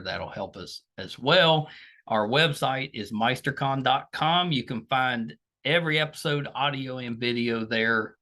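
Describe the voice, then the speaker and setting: A man's voice: medium pitch, bright, forceful tone. A middle-aged American man speaking as a podcast host, casually and earnestly thanking and appealing to his audience in a chatty way. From United States.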